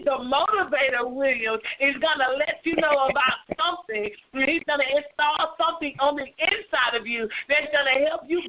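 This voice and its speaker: High-pitched enthusiastic woman on a conference call. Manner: enthusiastic, energetic. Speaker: host introducing a guest speaker. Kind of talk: read-out introduction on a conference call. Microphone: phone conference line. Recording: dull lo-fi conference call line.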